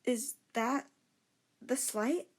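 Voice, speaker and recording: A woman's voice: fairly high pitch, extremely slow. A woman playing an anxious young woman passenger who talks in an anxious, accusing way in an amateur audio drama.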